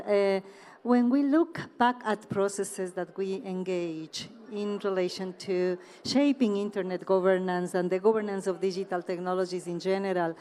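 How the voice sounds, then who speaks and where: Deliberate woman panelist in a reverberant hall. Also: mid-range voice, darkish tone. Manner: measured, deliberate, with many fillers and restarts. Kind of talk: conference panel remarks.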